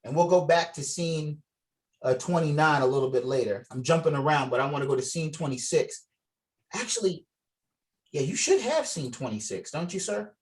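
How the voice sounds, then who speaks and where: A man's voice: a little high, animated, normal speed. A man leading a script read over a video call, talking casually and encouragingly and reading out scene directions. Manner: encouraging, informal. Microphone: video call microphone.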